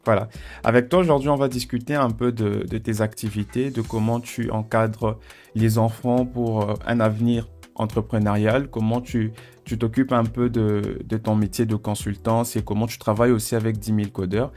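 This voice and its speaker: Man hosting a podcast, halting. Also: on the low side, soft background music. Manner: relaxed, hesitant with repeated words. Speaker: podcast host. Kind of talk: conversational podcast interview.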